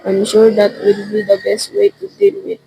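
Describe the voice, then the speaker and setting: A young woman in her teens playing a formal, unhelpful chief telephone operator in an amateur radio drama, polite and measured. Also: medium pitch, very animated, noisy background. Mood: politely firm. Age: teenager.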